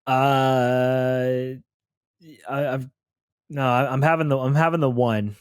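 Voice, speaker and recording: A man playing a tabletop role-playing game, improvising casually in character and haltingly thinking aloud. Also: medium pitch, steady tone, smooth, dry recording, studio quality.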